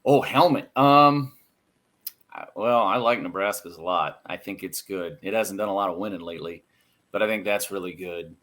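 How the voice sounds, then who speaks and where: An American man, a sports broadcaster, giving casual and measured answers as a podcast guest. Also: deep voice, a bit bright.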